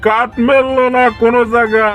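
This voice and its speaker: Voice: medium pitch, flat delivery, fairly quick, highs cut off, faint music behind, natural voice. A man voicing a greedy cartoon tiger woodcutter with a drawling, self-pleased manner.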